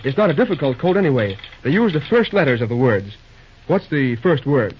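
An actor playing an earnest American railroad superintendent in old-time radio style. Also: male voice, mid-range voice, very animated, fairly quick, highs cut off, slight background noise, close to an everyday voice. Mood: intent.

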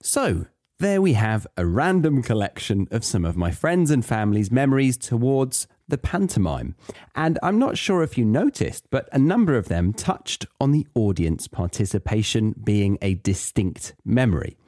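A man's voice: medium pitch, big pitch swings, moderate pace, a little mellow, no room sound, clean studio sound. A British man presenting an English-learning podcast in a conversational, playful, jokey way as host and teacher.

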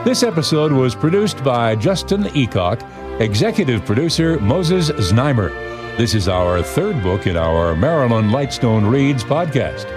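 A man's voice: medium pitch, very animated, unhurried. Man announcing podcast credits over music.

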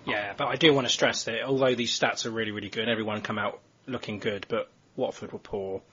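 A man's voice: lowish voice, steady tone, fairly quick, bright, forceful tone, a little hiss or hum. A man hosting a football podcast, chatting casually and putting questions to the others. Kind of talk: casual sports discussion and interviewing. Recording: dull, lo-fi voice recording.

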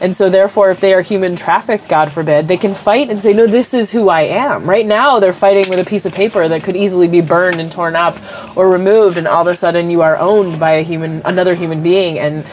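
A woman's voice: lowish voice, fairly even intonation, a little fast, a little mellow, slight background noise. A woman with a cold and a stuffed-up voice, a company executive answering interview questions and explaining technology through everyday comparisons, with frequent ums.

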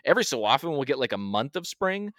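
A man's voice: medium pitch, very animated, fairly bright tone, no echo. A man in his thirties from eastern Washington chats on a podcast. He keeps stopping and restarting his sentences. His voice is recorded dry and close, with no room sound. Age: 30s. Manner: halting, restarts a lot. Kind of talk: casual chat.

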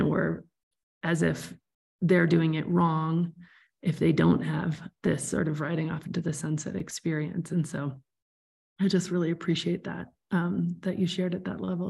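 A woman's voice: low-pitched, vocal fry, a little mellow, slightly rough. A woman hosting a podcast interview, speaking in a conversational, reflective and appreciative way. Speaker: podcast host.

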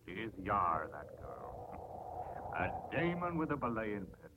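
An actor playing a rough, admiring old-time sailor in a 1950s radio drama. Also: male voice, on the low side, big pitch swings, slow. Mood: proud, admiring. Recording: noisy old radio recording.

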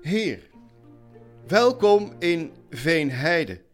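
A man's voice: a little high, big pitch swings, drawn-out words, faint music behind, full character voice. An actor playing a timid, pious man who speaks with hesitant solemnity in a live audio drama.

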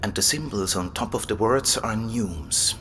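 A man's voice: fairly low pitch, lively intonation, unhurried, fairly bright tone, slight background noise. A man acting in an audio drama as an erudite, enthusiastic abbey librarian, explaining things like an eager scholar. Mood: keen explaining. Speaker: library guide and manuscript expert.

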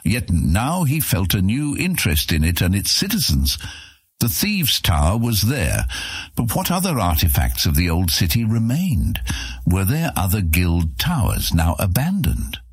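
A man with a very low, husky voice narrates a fantasy audiobook. His delivery is dramatic and moves widely up and down in pitch. He sounds like a storyteller reading a serial adventure. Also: wildly swooping pitch, unhurried, dry recording.

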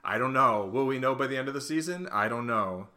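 Man, bright crisp-edged tone, mid pitch, podcast co-host, casual show discussion. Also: fairly quick.

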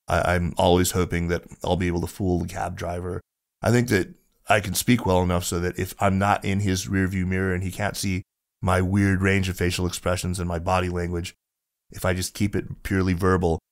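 A man's voice: low voice, little pitch movement, brisk. A man speaking as a podcast guest in casual conversation, reflective, with frequent restarts and fillers.

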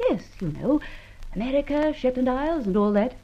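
An actress playing a poised, cheeky society woman in an old-time radio drama, husky, airy and mock-innocent. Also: sits high, expressive, fairly quick, mellow. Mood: mock-innocent, chatty. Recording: dull, lo-fi old radio recording.

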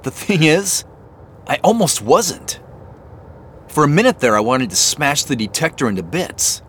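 Younger brother, excited treasure hunter in an audio drama; hugely animated pitch, faint car noise behind. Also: male voice, a little slow. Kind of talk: audio drama dialogue, naturalistic phone call. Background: car driving.

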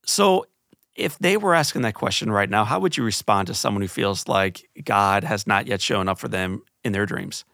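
Bright-voiced male podcast host. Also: lowish voice, moderate pace, gravelly, dry recording, studio quality. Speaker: interview host. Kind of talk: podcast interview.

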